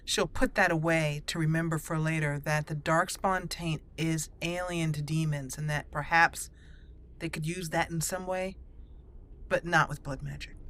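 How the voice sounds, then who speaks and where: A woman's voice: sits low, fairly even intonation, a little slow, fairly bright tone. A woman narrating a fantasy game roleplay in a thoughtful, earnest way, speaking in character between her explanations.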